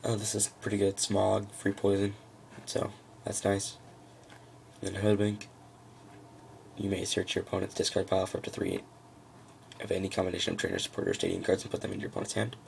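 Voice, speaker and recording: Very low, gravelly man talking through cards. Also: brisk, pauses often, a little hiss or hum. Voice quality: froggy, gravelly. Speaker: trading card hobbyist presenting a deck. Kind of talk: casual unscripted card-by-card walkthrough.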